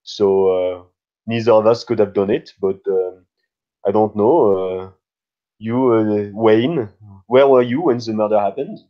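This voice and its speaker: A man with a fairly low voice plays a mystery role-playing game on slightly dull audio. He improvises slowly and very haltingly, stopping often to find his next words. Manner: very halting, heavy with hesitations. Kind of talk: improvised in-character game talk.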